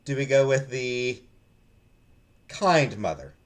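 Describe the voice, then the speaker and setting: A man's voice: medium pitch, big pitch swings, takes it slowly. A man streaming a visual novel, switching between casual chat with viewers and reading narration aloud.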